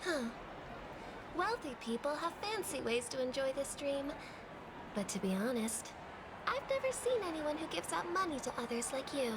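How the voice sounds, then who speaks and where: A woman's voice: high-pitched, very animated, normal speed, background noise. A woman playing a frank, wry young reporter in a video game, conversational and worldly. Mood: curious, wry. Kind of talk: video game character dialogue. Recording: loud music behind. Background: loud game music.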